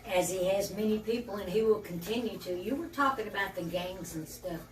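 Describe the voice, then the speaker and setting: A woman hosting a casual interview, who speaks of her guest with warmth and admiration. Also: mid-range voice, fairly quick. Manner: warm, admiring. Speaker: interview host. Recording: echoey room, distant mic.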